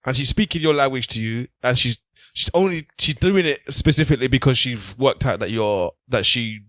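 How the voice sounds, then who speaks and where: A man hosting a casual group podcast chat, posing questions in halting, hesitant phrases. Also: mid-range voice, fairly bright tone, dry recording. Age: adult, parent of a daughter. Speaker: podcast host.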